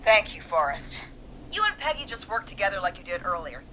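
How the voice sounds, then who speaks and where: An actress voicing a frightened female police dispatcher in a horror video game, speaking urgently and haltingly. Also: mid-range voice, big pitch swings, sharp tone, a little hiss or hum. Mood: urgent, focused.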